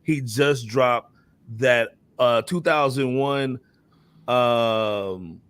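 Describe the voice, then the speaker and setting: A man's voice: mid-range voice, very slow, a bit bright, clean tone, dry recording. An American man hosting a casual hip hop talk show, speaking in loose slang and often tagging phrases with "man". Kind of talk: casual panel chat about hip hop.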